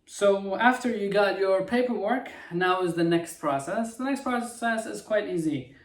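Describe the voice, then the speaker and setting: A man's voice: high-pitched, normal speed. Easygoing man co-hosting a vlog. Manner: easygoing, agreeable, adds details. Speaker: vlogger co-host. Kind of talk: casual explainer chat. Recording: roomy echo, mic at a distance.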